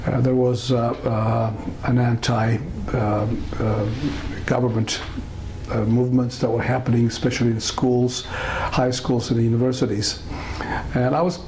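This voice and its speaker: An older man from Eritrea, telling his life story in an interview, pausing often with fillers and restarts. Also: mid-range voice, little pitch movement, darkish tone, a little hiss or hum, rough recording. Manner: halting, many fillers and restarts. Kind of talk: casual interview testimony.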